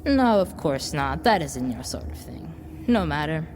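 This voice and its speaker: A woman playing a smooth-tongued devil boy in an audio drama, coaxing like a salesman and offering tempting gifts. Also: low-pitched, sing-song, unhurried, darkish tone. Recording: long reverb, background noise.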